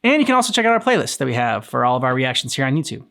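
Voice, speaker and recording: A man's voice: mid-range voice, very animated, racing, vocal fry, bright, forceful tone. A man co-hosting a reaction show, speaking in a casual, chatty way.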